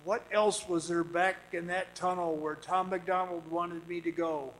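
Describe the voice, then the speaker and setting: Man acting frightened miner, radio drama, unhurried pace, stammering, haunted storyteller. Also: high-pitched, steady tone, fairly bright tone. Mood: haunted, brooding. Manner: stammering, halting, anxious. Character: frightened trapped miner, jittery, recounting his ordeal. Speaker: actor and narrator in a radio drama. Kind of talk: first-person suspense radio drama acting. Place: performing arts center stage.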